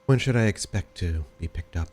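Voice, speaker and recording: A man voicing a guarded, blunt female dance teacher in a tabletop game, with deliberate, formal phrasing. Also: low voice, lively intonation, a little mellow. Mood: businesslike.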